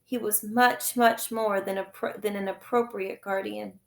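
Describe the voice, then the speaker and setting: A woman's voice: medium pitch, steady tone, unhurried, gravelly, roomy sound. A woman voicing an earnest, sceptical young girl in a storybook, careful and insistent. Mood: sad.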